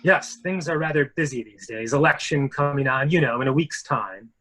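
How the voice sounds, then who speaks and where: A man acting an evasive politician and secret lover who talks in brisk reassurances, in a dramatic mystery reading. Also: mid-range voice, bright, forceful tone, soft background music. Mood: breezy.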